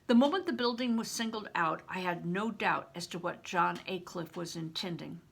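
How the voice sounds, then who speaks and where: An older woman reading a children's novel aloud as a storyteller, with an expressive, dramatic and emphatic delivery. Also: lowish voice, moderate pace, vocal fry, slightly rough. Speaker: retired reading teacher, storyteller.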